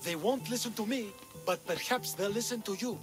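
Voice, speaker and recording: A harried father, as a man acts him out in a video game, high-voiced and exasperated, announcing things with theatrical grandeur. Clear game music runs under him. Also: fairly quick, lightly acted. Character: harried middle-aged father of three unruly sons.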